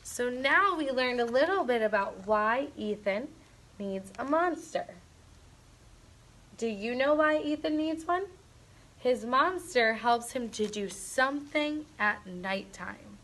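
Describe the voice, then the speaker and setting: A woman reading a storybook aloud to young children as a teacher would, expressively and deliberately. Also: a little high, lively intonation, fairly bright tone, low-quality recording.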